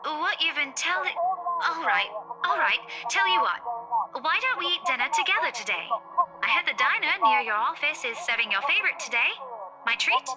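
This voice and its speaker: Visual novel role: practical, firm young female friend, high voice, reasoning with someone she cares about. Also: lively intonation, moderate pace. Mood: gentle, concerned. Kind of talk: visual novel game voice acting. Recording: loud game music behind. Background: music.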